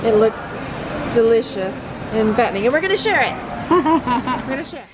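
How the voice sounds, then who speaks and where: Woman, thirties, high voice, chatty informal vlog host, clearly noisy handheld camera sound. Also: expressive, normal speed. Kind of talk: casual vlog chat with a friend. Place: inside a car, outdoors, and at a restaurant patio. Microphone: camera at arm's length. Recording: noisy car and outdoor handheld camera audio.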